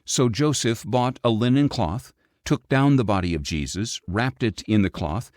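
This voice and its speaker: A man reading scripture as an audiobook narrator, in a measured, expressive storytelling delivery. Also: on the low side, dry recording, clean studio sound.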